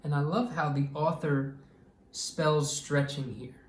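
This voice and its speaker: Slow, echoey male children's storytime reader. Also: a little high. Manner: expressive, deliberate, draws out words. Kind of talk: read-aloud storytime for children. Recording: echoey room, distant mic.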